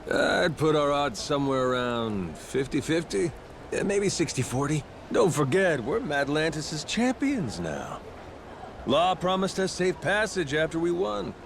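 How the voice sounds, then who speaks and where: An actor playing a cocky, streetwise pirate yakuza captain in a video game, casual, brash and slangy. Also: male voice, on the high side, very animated, a little slow, gravelly, a little hiss or hum, heavily acted. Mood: cocky, easygoing. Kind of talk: video game dialogue acting.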